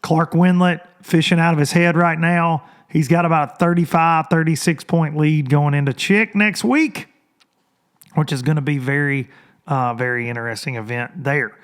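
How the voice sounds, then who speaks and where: Hoarse, rambling podcast host man. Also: a little high, fairly even intonation, moderate pace. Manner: loose, rambling, talks to listeners as y'all. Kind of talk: casual podcast monologue. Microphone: studio microphone.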